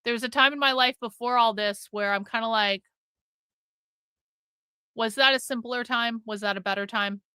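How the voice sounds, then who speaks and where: Bright, high-voiced woman, blunt livestream host. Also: fairly even intonation, normal speed, pauses often, clean tone, no echo. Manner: blunt, sweary; repeats phrases for emphasis. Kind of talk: casual rambling livestream talk to chat.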